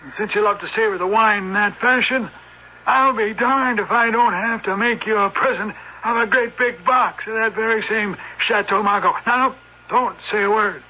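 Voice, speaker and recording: A garrulous old gentleman of means in a radio play. His voice sits high for a man, and he talks in a folksy, hesitating way, jovial with a crotchety edge. The sound is a dull vintage broadcast. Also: normal speed. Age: older. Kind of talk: old-time radio drama acting. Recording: dull, band-limited old radio recording.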